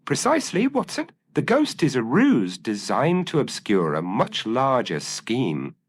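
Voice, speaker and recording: A man voicing a brilliant, commanding male detective with calm, incisive, formal deductions in an audio mystery story. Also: mid-range voice, measured pace, pitched above the performer's own voice, exaggerated voice. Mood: grave, decisive. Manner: crisp, formal, declarative deductions.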